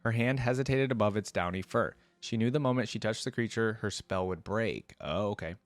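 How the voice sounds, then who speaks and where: A man's voice: on the low side, a little fast, no echo. A man hosting a reaction video, reading a story aloud expressively and adding casual commentary.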